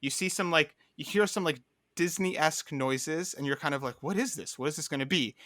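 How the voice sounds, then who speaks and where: A man co-hosting a podcast in casual banter, telling things like an animated storyteller and often checking in with "right?". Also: fairly high pitch, fairly quick, no room sound, clean studio sound. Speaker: podcast co-host.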